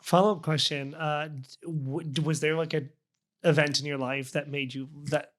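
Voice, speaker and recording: A man with a voice a little higher than average. He hosts a casual podcast, putting questions to guests in a loose, searching way. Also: fairly even intonation, moderate pace. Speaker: podcast host.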